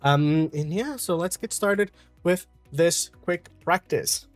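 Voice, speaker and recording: Man, Brazilian Portuguese accent, English teacher, calm explainer, dry close sound, faint music behind. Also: on the high side, takes it slowly, dry recording. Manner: calm, explanatory, with frequent "uh" and "um" fillers. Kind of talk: online English lesson.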